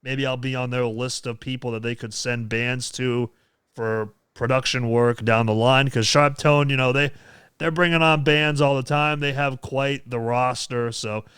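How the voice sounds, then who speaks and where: Chatty, smooth-voiced man hosting a podcast. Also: medium pitch, fairly even intonation, normal speed, fairly bright tone, no room sound, studio quality. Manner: rambling, laughs often, many restarts. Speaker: podcast host and metal vocalist. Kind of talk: casual podcast chat.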